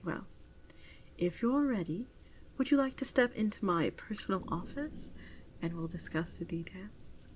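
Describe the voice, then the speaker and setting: Soft whispery woman acting as virtual pet shop clerk. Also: medium pitch, lively intonation, slight background noise, natural voice, rough recording. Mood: warm, businesslike. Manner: soft, often whispery, unhurried and attentive. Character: gentle, doting female adoption clerk for virtual pets. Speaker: ASMR roleplay performer. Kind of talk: soft-spoken ASMR roleplay.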